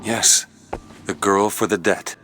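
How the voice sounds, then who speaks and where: An actor playing a haunted, desperate private investigator in a dramatic video game, speaking tersely and emotionally. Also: male voice, lowish voice, steady tone, slow pace, bright, forceful tone. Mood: confused, denying. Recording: music behind the voice.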